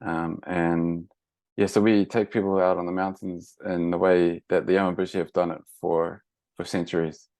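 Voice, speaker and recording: A man with a New Zealand accent, guest on a travel podcast, explaining at length in a relaxed way with many fillers and restarts. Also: low-pitched, normal speed, dry recording. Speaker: guest, mountain monk trainer. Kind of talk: casual interview.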